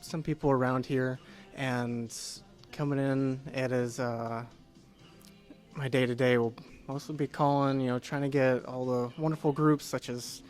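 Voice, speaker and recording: A young man, a guest in a casual interview, polite and soft-spoken, answering with many pauses and fillers. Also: medium pitch, little pitch movement, soft background music. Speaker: guest, group sales consultant.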